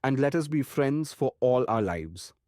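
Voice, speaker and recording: An actor playing a kindly, courtly old doctor in an audiobook, speaking formally and earnestly. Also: male voice, medium pitch, lively intonation, unhurried, warm tone, no echo, studio quality, natural voice. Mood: earnest, friendly. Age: older. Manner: earnest, courtly, formal, quaint foreign phrasing. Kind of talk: audiobook character acting.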